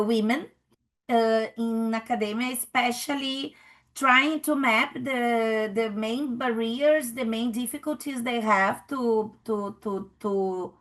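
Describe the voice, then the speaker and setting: Middle-aged Brazilian woman, anthropologist on a panel; Brazilian Portuguese accent in English, slightly higher voice, unhurried, halting with restarts. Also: a bit bright. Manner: halting, many restarts and fillers. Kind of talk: panel talk.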